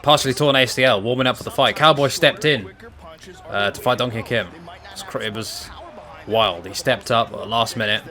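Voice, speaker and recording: A young man casually commentating a fight video game, excitable and breaking into shouts at big moments. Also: medium pitch, brisk.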